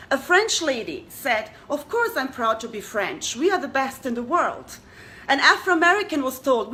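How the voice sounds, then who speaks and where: A woman's voice: high voice, bright, forceful tone. A young woman from Germany hosting a talk show, speaking to an audience in an earnest way and asking them rhetorical questions. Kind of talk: addressing an audience.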